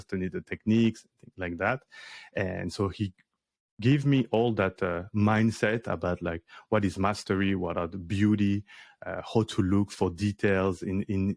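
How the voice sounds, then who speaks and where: A deep, gravelly male voice, recorded dry and close. He speaks as a career coach in a relaxed interview, often pausing on fillers and restarting his sentences. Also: no echo. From France. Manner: hesitant, many fillers and restarts. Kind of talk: casual interview conversation.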